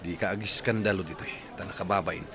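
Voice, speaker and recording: A man's voice: low-pitched, fairly even intonation, fast, bright, forceful tone, slight background noise. A man with a Filipino accent playing a fed-up, evasive boyfriend in a radio drama, irritated and defensive. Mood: embarrassed, curt. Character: Rolly, fed-up, evasive, spendthrift young boyfriend. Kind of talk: Philippine radio drama acting.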